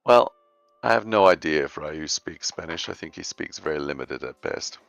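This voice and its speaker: Very deep, gravelly man on voice chat. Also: lively intonation, normal speed, a bit bright, no echo, dull audio. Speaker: co-host on a game dev stream. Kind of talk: casual chat.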